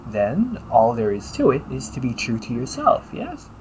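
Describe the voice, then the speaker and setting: A man playing a polite, caring A.I. companion in an audio roleplay, speaking in measured, formal phrases and often checking with a questioning tag. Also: medium pitch, huge pitch swings, a little hiss or hum, low-quality recording. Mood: earnest, reassuring. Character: polite, caring male A.I. companion, gently logical and encouraging. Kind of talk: audio roleplay addressed to the listener.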